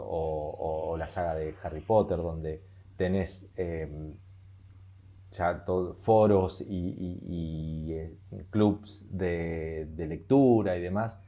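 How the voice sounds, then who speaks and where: Low, dark-toned Argentine male co-host who hesitates and repeats himself often. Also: fairly even intonation, takes it slowly. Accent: Argentine Spanish. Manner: hesitant, many fillers and repeated words. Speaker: podcast co-host. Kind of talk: casual chat.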